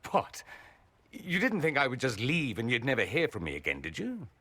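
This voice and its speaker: A man's voice: medium pitch, wildly swooping pitch, normal speed, sharp tone, dry recording, exaggerated voice. An actor playing a witty, vain and sardonic nobleman mage with theatrical, flippant delivery in a video game. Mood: wry, fond. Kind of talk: dramatic video game dialogue.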